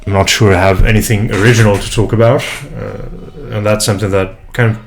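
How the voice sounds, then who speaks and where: Man, young, from Brazil, Portuguese first language, very low voice, halting and thoughtful, home desk mic. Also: little pitch movement, vocal fry, slight background noise, rough recording. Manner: hesitant, many fillers and restarts, reflective. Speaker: English tutor and vlogger. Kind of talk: casual first vlog introduction. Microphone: USB desk mic, unprocessed.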